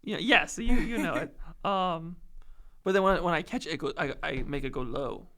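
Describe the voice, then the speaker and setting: A young man co-hosting a casual chat, easygoing and quick to agree. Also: a little high, fairly bright tone. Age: young adult. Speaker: podcast co-host.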